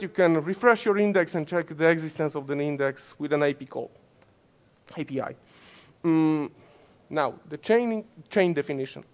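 A man in his thirties from Poland with a Polish accent, giving a technical conference talk with many fillers and restarts. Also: on the high side. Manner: many fillers and self-corrections, gesturing while explaining. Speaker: conference speaker. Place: conference stage in front of slides, indoors.

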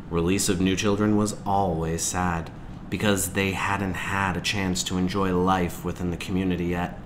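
A man reading a novel aloud as a teacher, in measured, expressive storytelling narration. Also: sits low. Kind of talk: audiobook-style read-aloud narration. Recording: clear background noise.

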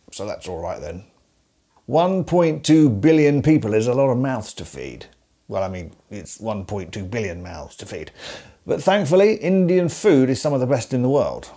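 A man in his forties from England, hosting with dry, deadpan, scripted comedic narration to the camera. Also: mid-range voice, measured pace. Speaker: host. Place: indoors, seated at home. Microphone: facing camera at close range.

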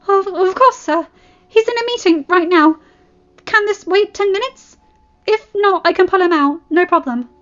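A woman's voice: sits high, a little fast, dull audio, pitched above the performer's own voice, natural voice. A woman voicing a nervous, eager, polite female school receptionist in an audiobook story, stammering and apologetic. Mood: nervous, helpful. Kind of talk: fan fiction audiobook character voice. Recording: band-limited audio with background music.

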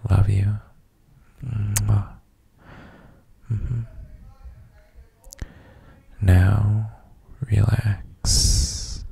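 A man playing a gentle, doting boyfriend in a sleep ASMR roleplay, murmuring soft reassurances in a breathy, gravelly near-whisper with hesitant hums. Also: lowish voice, no room sound, full character voice.

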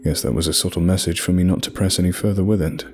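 A man narrating a horror story in a measured, scripted storytelling delivery. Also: sits low, steady tone, a little mellow, faint music behind.

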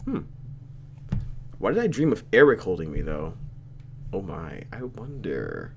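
A man's voice: sits low, wildly swooping pitch, a little slow, creaky, slight background noise. A young man doing a let's play, reading a visual novel aloud with playful, reactive commentary.

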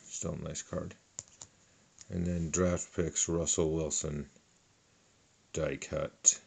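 Middle-aged guy going through his trading cards at the table, super low voice, talks slow. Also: flat delivery, gravelly, a little mellow, breathy edge. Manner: unhurried, listing cards one by one with fillers. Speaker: card collector. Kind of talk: casual card unboxing narration. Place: indoors at a table.

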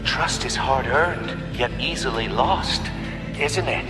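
An actor playing a sly, gleefully condescending supervillain in a video game, taunting and theatrical. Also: male voice, mid-range voice, slow, lightly acted. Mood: mocking. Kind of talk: video game villain acting. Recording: echoing hall, music behind.

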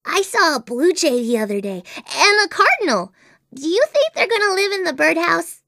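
Game role: chatty, dreamy little girl, very animated delivery, dry close recording. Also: extremely high pitch, moderate pace, vocal fry, dry recording, exaggerated voice. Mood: excited, cheerful. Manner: whimsical, earnest, childlike wondering. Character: imaginative, chatty little girl, dreamy about unicorns and princesses. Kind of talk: animated video game character voice acting.

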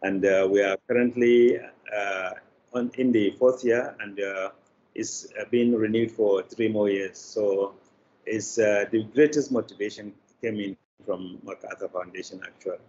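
A man's voice: fairly low pitch, fairly even intonation, unhurried, darkish tone. Muffled older Nigerian man, formal and hesitant. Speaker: managing director, interview guest. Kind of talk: deliberate interview answers. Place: indoors in an office room on a video call. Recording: dull, faintly noisy video call.

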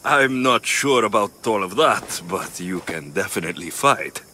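A gruff game mercenary, lowish voice with a bright edge, faint ambient noise. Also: male voice, expressive, measured pace. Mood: wry. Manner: curt, demanding. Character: blunt male mercenary warrior. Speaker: game character. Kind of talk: video game dialogue.

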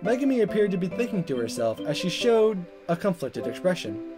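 A man narrates a visual novel as a let's player. His pitch moves around a lot as he reads expressively, with faint game music underneath. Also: sing-song, brisk.

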